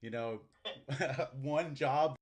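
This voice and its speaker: A middle-aged man hosting a casual interview in an informal, halting, complimentary way. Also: medium pitch. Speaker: interviewer, host.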